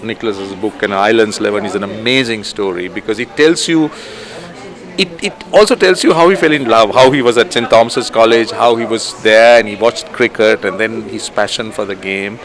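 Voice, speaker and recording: A man, a cricket official, giving an earnest and measured interview comment. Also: fairly low pitch, a bit bright.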